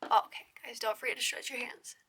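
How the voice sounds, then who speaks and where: A young woman with a hoarse, raspy voice hosts a casual crochet tutorial. She chats with a lot of "like" and counts her stitches in a soft whisper. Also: a little high, steady tone, fast talker. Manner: chatty, with lots of "like".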